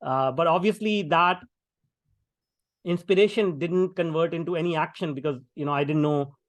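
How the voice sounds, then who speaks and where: A man's voice: fairly high pitch, normal speed, no echo. A middle-aged man from India with an Indian English accent, a podcast guest speaking conversationally with many fillers and restarts. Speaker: guest, tech founder. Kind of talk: podcast interview.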